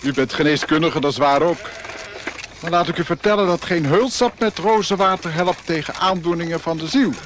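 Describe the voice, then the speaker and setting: An actor playing a guarded middle-aged monk in a radio play, speaking in terse, measured, insinuating lines. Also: male voice, a little high, close to an everyday voice. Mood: ominous. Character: guarded, cryptic monk of about forty, quietly menacing. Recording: noisy old radio drama, outdoor effects.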